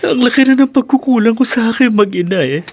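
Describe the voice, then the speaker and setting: A man's voice: very high voice, quick pace, darkish tone, breathy edge, faint music behind. A man playing a meek, torn grown son with a pleading, deferential manner in a Filipino radio drama.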